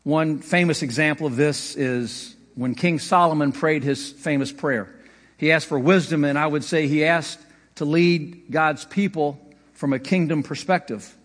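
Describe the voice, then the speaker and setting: A man's voice: a little high, a little slow. Man preaching in a reverberant hall. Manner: conversational preaching with slips and light laughs. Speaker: pastor. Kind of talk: sermon.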